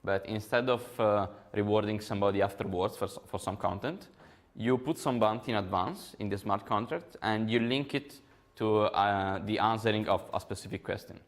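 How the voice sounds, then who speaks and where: Low gravelly Italian-accented man presenting. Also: moderate pace. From Italy. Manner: hesitant, many ums and uhs, explanatory. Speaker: conference speaker. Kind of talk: technical conference talk.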